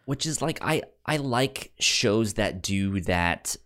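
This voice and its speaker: A man co-hosting a podcast, chatting casually about TV shows in a halting way, often repeating the starts of words. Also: on the low side, animated, a bit bright. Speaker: podcast co-host.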